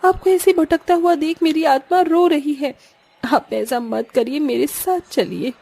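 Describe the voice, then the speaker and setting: A woman's voice: high voice, fairly even intonation, measured pace, gravelly, mellow. A woman voicing a sorrowful young bride in a cartoon story, earnest, plaintive and pleading. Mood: sad pleading.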